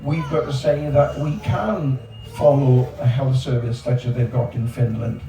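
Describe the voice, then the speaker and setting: A man's voice: medium pitch, fairly even intonation, unhurried, creaky, dark tone, faint music behind. Older man speaking emphatically, far off. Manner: emphatic, repeats words for stress. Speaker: panel speaker. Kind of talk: panel discussion. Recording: echoey outdoor space from far off, background noise.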